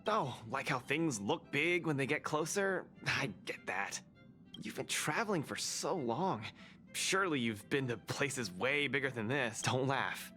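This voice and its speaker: Earnest, mid-pitched young dreamer. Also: male voice, lively intonation, unhurried, pushed and bright. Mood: wistful, friendly. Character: earnest, wide-eyed young male fighter, friendly dreamer. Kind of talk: video game voice acting, conversational dialogue. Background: music.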